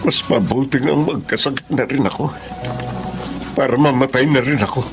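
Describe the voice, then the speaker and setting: An actor playing a grieving, stubborn elderly widower in a Filipino radio drama, sorrowful and heavy-hearted. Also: male voice, medium pitch, moderate pace, natural voice. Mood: anguished. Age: older. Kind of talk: Filipino radio drama acting, narrating and in dialogue. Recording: loud music under the voice.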